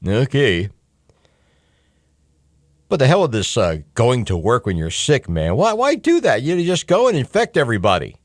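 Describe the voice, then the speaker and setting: A middle-aged male radio host lectures his listeners in a blunt, slightly profane way, stumbling through fillers and restarts. His pitch rises and falls in an animated way. The studio mic sounds close and dry. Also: normal speed, pauses often, no room sound. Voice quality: blunt, mildly profane, conversational. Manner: lively, hectoring, with frequent fillers and restarts. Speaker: radio talk show host. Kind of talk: call-in talk radio monologue. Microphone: studio microphone.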